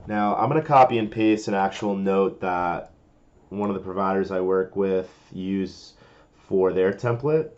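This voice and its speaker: A man presenting a casual, step-by-step software tutorial, informally explaining each step as he goes. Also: deep voice, measured pace, lo-fi sound.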